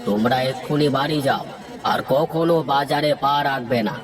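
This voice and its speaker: A man's voice: medium pitch, moderate pace, a crowd in the background, natural voice. A man voicing a pompous, bossy village landlord in a cartoon, with an authoritative, commanding manner. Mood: stern, dismissive. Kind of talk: cartoon dub acting.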